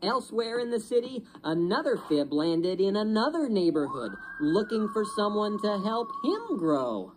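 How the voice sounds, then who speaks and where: A woman's voice: medium pitch, sing-song, unhurried, few pauses, background noise. A woman reading a children's storybook as a dramatic narrator.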